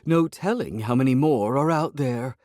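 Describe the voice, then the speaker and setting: A man's voice: medium pitch, normal speed, a little mellow, no room sound, clean studio sound, voice pushed up, natural voice. A man voicing a haughty, demanding female talking cat with a snippy, imperious manner, reading an audiobook.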